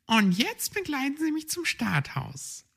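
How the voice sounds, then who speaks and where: A man's voice: extremely high pitch, huge pitch swings, measured pace, creaky, a bit bright, voice pushed up, heavily acted. A man voicing a sly, proud elderly lady hedgehog who snuffles and speaks secretively. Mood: pleased.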